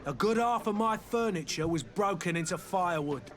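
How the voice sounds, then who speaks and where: Blunt innkeeper, aggrieved, indignant, slightly high voice, game dialogue. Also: male voice, lively intonation, moderate pace, a bit bright. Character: aggrieved, blunt male tavern keeper wanting repayment. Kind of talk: scripted video game dialogue.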